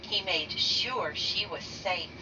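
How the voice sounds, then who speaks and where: A woman reading a children's picture book aloud slowly and deliberately, raising her pitch for the characters' lines. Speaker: read-aloud narrator. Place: bedroom, indoors. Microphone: phone camera held over the book. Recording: dull lo-fi sound from a distance with noise.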